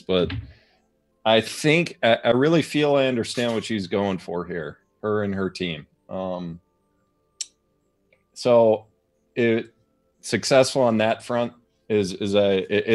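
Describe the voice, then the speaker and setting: A man on a music show panel giving casual commentary on songs, haltingly thinking aloud as he goes. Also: on the low side.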